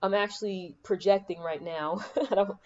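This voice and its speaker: Woman, soothing calming voice, warm and mellow, podcast host, spiritual pep talk, unhurried with emphatic repeats, laptop mic at home, dull lo-fi sound. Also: mid-range voice. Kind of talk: spiritual motivational monologue. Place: room at home with air conditioning on. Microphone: laptop microphone.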